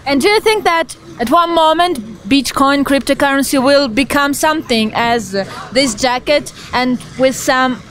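A young woman reporter asking street interview questions outdoors at night into a handheld mic, with earnest, slightly halting phrasing. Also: sits high, a bit bright. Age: young adult.

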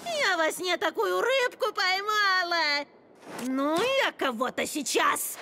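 High, grumpy wolverine thief. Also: female voice, lively intonation, slow pace, noisy background, full character voice. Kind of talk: animated series character acting.

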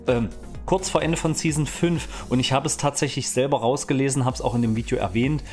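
A man's voice: mid-range voice, fairly quick, a little mellow. A man gives casual gaming news in a chatty, colloquial way, close on a studio microphone. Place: small home streaming room. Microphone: close studio microphone on arm. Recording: music under the voice. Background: background music.

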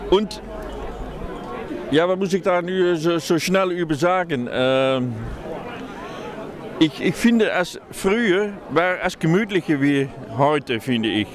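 A man in his sixties with a Dutch accent, answering street interview questions slowly with many pauses and fillers, outdoors at a crowded Christmas market at night. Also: fairly high pitch, animated. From Netherlands. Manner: slow, hesitant, many fillers. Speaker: market visitor. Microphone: handheld mic held close. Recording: loud crowd behind.

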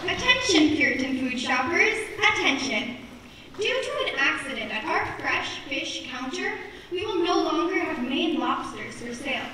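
Very high, swooping store announcer played by a woman. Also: very animated, moderate pace, sharp tone, heavily acted. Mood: formal. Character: upbeat female supermarket intercom announcer. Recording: echoey, noisy hall, far off.

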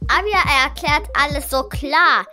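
A woman playing an earnest schoolgirl, slow. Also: high voice, bright, forceful tone, soft background music. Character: schoolgirl, earnest and creative, a bit unsure. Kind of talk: children's audio drama.

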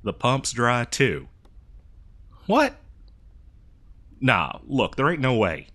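A man's voice: medium pitch, wildly swooping pitch, slow, a bit bright, exaggerated voice. Guy doing a folksy old west drifter, exasperated n rambly, voice swings up and down, comedy audio roleplay. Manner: folksy, rambling, hesitant improvised delivery. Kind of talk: improvised comedic audio roleplay addressed to the listener.

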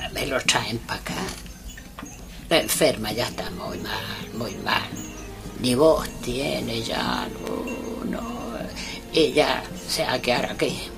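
An old village healer woman from a radio play, with a low, creaky voice. Her Chilean speech is slow, rustic and halting, solemn and insistent as an incantation, with music behind her. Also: full character voice. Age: older. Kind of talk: radio drama acting.

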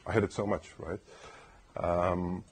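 A man with a soft, soothing voice gives a loose conference talk in a lecture room. His voice sits low and sounds very husky and breathy. He rambles and keeps asking the room "right?". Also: fairly even intonation, a little slow. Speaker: conference speaker. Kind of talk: casual technical talk.

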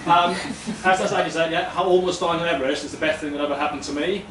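A man's voice: a little high, fairly even intonation, quick pace, roomy sound. Danish guy telling a story live, chatty, lots of ums, distant mic, room hiss. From Denmark. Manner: chatty, self-deprecating, many ums, gestures while talking. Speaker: storytelling event speaker. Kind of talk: live personal story talk. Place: small brick-walled event room, indoors. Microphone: far room mic.

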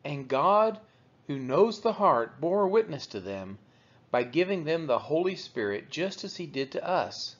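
Expressive higher-voiced man reading scripture. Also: a little slow. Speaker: Bible reader. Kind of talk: scripture read aloud, measured narration. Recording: dull, lo-fi recording.

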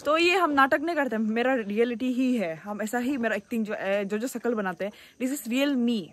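Chatty young woman vlogging outdoors. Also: a little high. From Arunachal Pradesh, India. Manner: chatty, excitable, quick asides to camera. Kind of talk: casual vlog narration. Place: outdoors in a hill village.

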